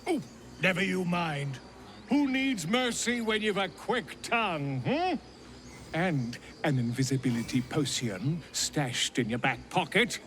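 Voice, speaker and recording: High, theatrical, pompous scholar. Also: male voice, animated, unhurried, fairly bright tone, a little hiss or hum. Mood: breezy. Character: pompous, excitable middle-aged male scholar and adventurer. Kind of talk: video game character acting.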